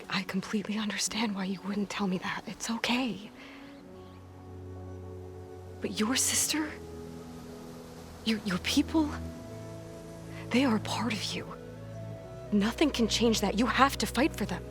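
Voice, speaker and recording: A woman playing an earnest, compassionate young heroine in a cinematic video game, speaking softly and hesitantly, often close to a whisper. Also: mid-range voice, lightly acted. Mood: tender, encouraging. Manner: soft, hesitant, breathy drops into near-whisper. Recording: music under the voice.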